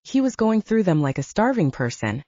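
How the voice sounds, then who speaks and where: A woman's voice: mid-range voice, big pitch swings, fairly quick, mellow, dry recording, lo-fi sound. A woman narrating first-person fiction as a scripted audiobook, recorded close in a dry space.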